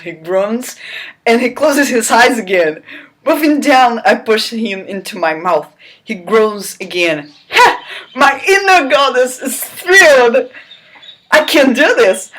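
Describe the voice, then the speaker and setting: A woman's voice: fairly high pitch, a bit bright. A woman giving a theatrical, mocking dramatic reading of a novel, with incredulous asides. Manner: theatrical, incredulous, breaks off into laughing commentary. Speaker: reader.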